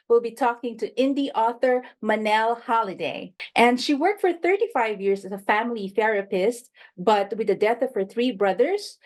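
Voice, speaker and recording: A woman hosting a book interview show, introducing her guest and asking casual, friendly questions with a few "um" and "like" fillers. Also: on the high side. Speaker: host.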